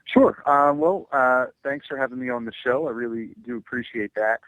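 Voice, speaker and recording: Halting young man, full of fillers. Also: medium pitch, fairly bright tone, dry recording, rough recording. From New Jersey. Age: 20s. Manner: hesitant, heavy with fillers. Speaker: political candidate guest. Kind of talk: radio interview answers.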